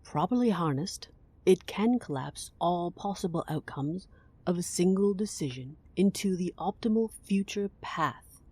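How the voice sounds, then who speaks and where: Low, breathy, imperious woman acted out. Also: no echo. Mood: haughty explaining. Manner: haughty, deadpan, measured. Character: cool, imperious, businesslike female client. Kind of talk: audio drama dialogue.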